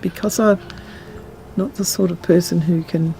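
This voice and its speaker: A woman's voice: lowish voice, fairly even intonation, moderate pace, gravelly, mellow, faint music behind, low-quality recording. An older woman giving a reflective, hesitant personal account in an interview.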